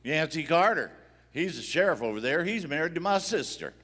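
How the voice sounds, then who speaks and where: Guy doing a high, swoopy old west country fella, folksy drawl, radio play in a big hall. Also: big pitch swings, a little fast, fairly bright tone. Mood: easygoing, helpful. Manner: rustic drawl. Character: folksy, plain-spoken Western man, sheriff or cowhand. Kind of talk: live Western radio play acting. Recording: reverberant theater hall.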